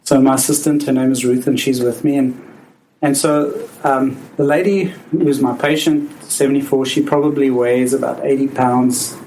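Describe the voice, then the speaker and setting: A man's voice: medium pitch, steady tone, moderate pace, mellow, a little hiss or hum, poor audio. A middle-aged man with a South African accent, a physician telling a personal story live to an audience in an earnest, conversational way. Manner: earnest, conversational, with frequent restarts. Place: library, live event.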